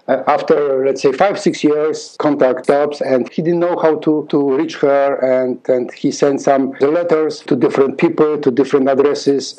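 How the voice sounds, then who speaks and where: A man's voice: mid-range voice, mellow, rough recording. A middle-aged man from Poland with a Polish accent, telling a long story in an interview, often repeating words and acting out dialogue. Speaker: conductor and music teacher.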